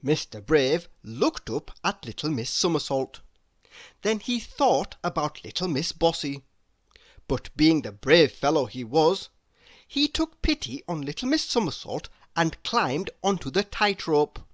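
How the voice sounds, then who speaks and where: Slow, highly expressive woman storyteller. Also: no echo. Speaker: children's story reader. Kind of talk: expressive read-aloud storybook narration.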